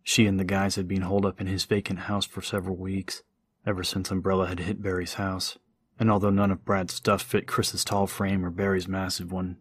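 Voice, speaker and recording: A man's voice: low-pitched, slightly rough, dry recording. A man narrating a scripted horror story as an audiobook narrator. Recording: music under the voice. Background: music.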